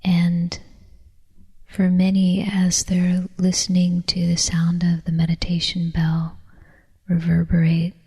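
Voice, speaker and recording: A woman leading a guided meditation in a soft, whispery, breathy voice, calm and soothing, with long unhurried pauses. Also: fairly low pitch. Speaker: meditation teacher. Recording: long reverberant hall echo.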